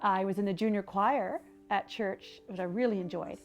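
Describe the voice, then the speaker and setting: A woman's voice: mid-range voice, moderate pace, muffled recording. A woman from Argentina, a singer and mother, speaking in an interview in an earnest, reflective way with some restarts.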